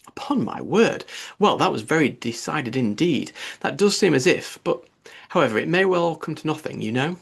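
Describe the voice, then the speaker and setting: A man's voice: fairly high pitch, very animated, normal speed, gravelly, breathy edge, exaggerated voice. A man voicing a gossipy, indignant middle-aged mother in an audiobook, effusive and opinionated. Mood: impressed, doubtful. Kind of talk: audiobook character dialogue.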